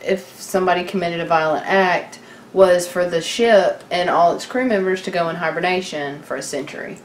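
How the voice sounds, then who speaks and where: A woman's voice: lowish voice, fairly even intonation, moderate pace. A woman chatting casually and unscripted as a co-host, informal and wry, joking and swearing mildly. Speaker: podcast co-host. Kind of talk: casual unscripted chat about TV. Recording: echoey room, background noise.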